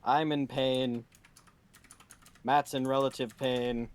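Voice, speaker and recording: A man's voice: mid-range voice, level tone, slow. A blunt, joking man who swears freely while chatting casually on a live tabletop game stream. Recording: clearly noisy room with keyboard typing.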